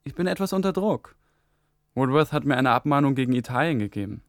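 An actor playing a dutiful, principled young German intern in a radio drama, earnest and a little hesitant. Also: male voice, mid-range voice, lively intonation, brisk, no echo, clean studio sound, lightly acted. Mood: hesitant, pressured.

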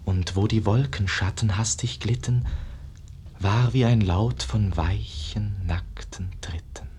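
An actor playing a dreamy, rapturous young painter's disciple, declaiming verse in a hushed, lyrical way in a 1950s radio drama. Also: male voice, fairly low pitch, takes it slowly, a little hiss or hum. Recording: muffled-edged 1950s radio play recording.

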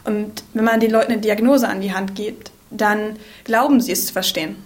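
A twenty-year-old woman talking about herself in a thoughtful, deliberate way. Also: mid-range voice, steady tone, slight background noise. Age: 20s. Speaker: interviewee.

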